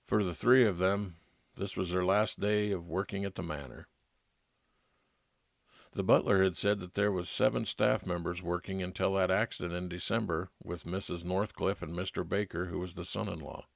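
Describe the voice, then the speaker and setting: Man, very deep voice, dry studio-like sound, scripted private-eye narration, old-time radio style. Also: steady tone, normal speed, gravelly, dry recording. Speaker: narrator playing a private investigator.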